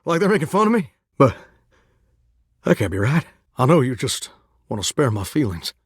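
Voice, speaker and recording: A man's voice: mid-range voice, very animated, normal speed, breathy edge, no room sound, studio quality. A man with a Southern US accent voicing a folksy, earnest, starry-eyed singing shower in a dating game, drawling homespun sayings. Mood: confused, hurt. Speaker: voice actor in a dating sim game. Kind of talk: expressive video game character dialogue.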